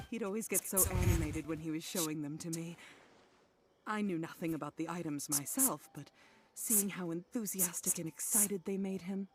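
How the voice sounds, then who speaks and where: A woman's voice: lowish voice, background noise, natural voice. A woman voicing a worried middle-aged wife in a game, speaking wearily and apologetically. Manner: weary, apologetic, soft-spoken. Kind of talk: game voice acting.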